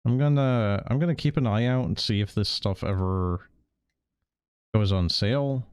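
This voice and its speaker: A man with a fairly low voice comments casually on a game stream. He hesitates often, stammering and repeating himself as he works out his thoughts. The sound is close and dry, with little room in it. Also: moderate pace, a little mellow, clean tone, no room sound. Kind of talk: casual stream commentary, sometimes reading text aloud.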